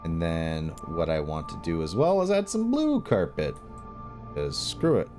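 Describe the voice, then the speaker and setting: A man with a fairly low voice walks through a screen-recorded tutorial. He talks informally and thinks aloud as he goes, with music playing behind him. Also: sing-song, unhurried. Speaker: video tutorial host and game modder.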